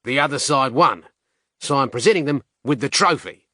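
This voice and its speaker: A man's voice: mid-range voice, animated, sharp tone, no echo, clean studio sound, pitched above the performer's own voice. A man doing a flustered cricket captain. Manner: hesitant, halting. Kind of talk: audiobook character voice.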